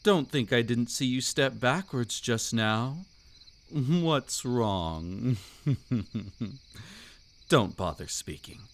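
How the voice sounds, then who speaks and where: A man's voice: medium pitch, lively intonation, extremely slow, long pauses, a little hiss or hum. A man voicing a suave, predatory old vampire count in a scripted roleplay audio, with an aristocratic, silky, teasing and theatrical delivery. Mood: mocking, predatory.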